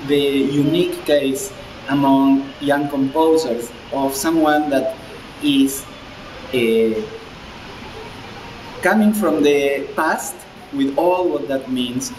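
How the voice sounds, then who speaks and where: A man giving a spoken tribute as a composer, halting and searching for words. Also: fairly high pitch. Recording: roomy and distant with loud ambient noise.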